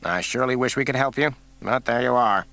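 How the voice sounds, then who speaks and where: A man voicing a cold, smug small-town male coroner with a slow, folksy way of talking. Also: mid-range voice, pushed and bright, pitched above the performer's own voice.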